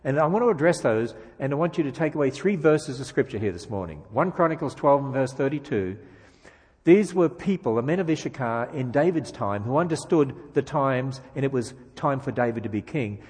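A man's voice: mid-range voice, expressive, a little fast, a little mellow, husky, long reverb tail. A man from Australia with an Australian accent, a guest speaker giving a sermon with jokes and emphatic rhetorical questions in a church. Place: church sanctuary.

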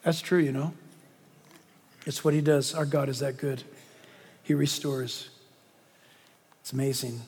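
A middle-aged man preaching a sermon, reading scripture with emphasis and dropping into hushed asides. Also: mid-range voice, steady tone, unhurried, long pauses, husky. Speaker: pastor. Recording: long reverb of a large hall.

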